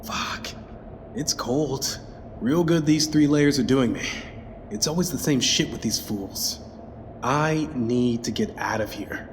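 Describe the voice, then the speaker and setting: A man's voice: mid-range voice, slow, slight background noise, close to an everyday voice. A man playing an anxious, bitter, foul-mouthed spacecraft crew member in an audio drama. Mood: bitter muttering. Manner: muttering to himself, swearing, sighing. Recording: long hall-like reverb under loud music.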